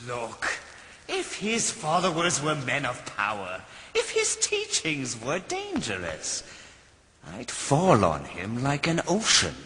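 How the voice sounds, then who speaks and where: An actor playing a haughty, powerful nobleman in an animated film, theatrical and condescending. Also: medium pitch, slow pace, full character voice. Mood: dismissive, amused scorn. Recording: long reverb, film music behind.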